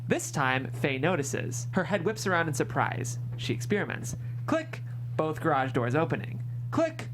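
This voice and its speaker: A man's voice: a little high, big pitch swings, normal speed, music in the background. A man reading out stage directions as scripted narration at a table read. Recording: noisy room with hum.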